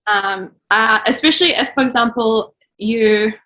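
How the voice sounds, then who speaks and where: Woman guest, casual talker, high and bright, echoey room sound. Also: measured pace. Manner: laughs often, chatty, many "like"s. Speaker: children's book author and illustrator. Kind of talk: casual interview chat.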